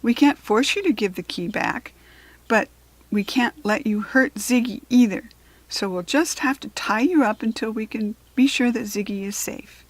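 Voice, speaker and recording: A woman's voice: on the high side, normal speed, no room sound, close to an everyday voice. A woman voicing a spirited, determined young girl fairy in a children's storybook, earnest and emphatic. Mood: firm.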